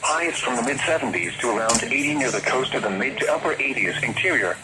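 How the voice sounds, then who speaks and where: A man's synthetic-sounding voice reading an automated weather forecast in a formal, measured manner over a radio transmission. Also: mid-range voice, lively intonation. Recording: very noisy weather radio broadcast.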